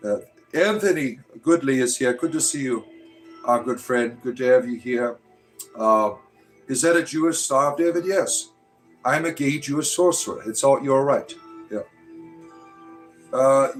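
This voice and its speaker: A man's voice: mid-range voice, unhurried, long pauses, soft background music, rough recording. A man in his fifties hosting a casual livestream, chatting with viewers in a rambling, joking way full of fillers. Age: 50s. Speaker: livestream host. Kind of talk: casual live-chat talk answering viewers.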